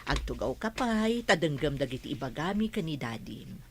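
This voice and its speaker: A woman's voice: sits low, big pitch swings, normal speed, background noise. A middle-aged woman acting a caring mother who pleads with her daughter in an audio drama. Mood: pleading. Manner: soft, pleading.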